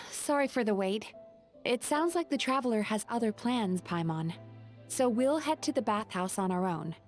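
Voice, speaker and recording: A woman's voice: fairly high pitch, lively intonation, moderate pace, faint music behind, close to an everyday voice. A woman playing a friendly, considerate young woman in a video game, speaking in a conversational way. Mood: apologetic. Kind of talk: video game cutscene acting.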